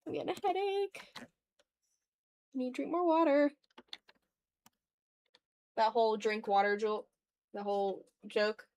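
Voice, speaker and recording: A tired woman chatting casually and rambling in a low-key way as she hosts a live art stream and draws. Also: fairly even intonation, unhurried, pauses often, a little mellow. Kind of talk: casual livestream chat while drawing.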